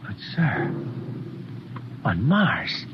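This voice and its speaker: An actor playing an excitable, emotional young lieutenant in a 1950s radio drama who stammers when worked up. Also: male voice, fairly low pitch, exaggerated voice. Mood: eager. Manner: excitable, stammering, quick to hope. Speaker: ship lieutenant. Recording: dull 1950s radio broadcast, faint hiss.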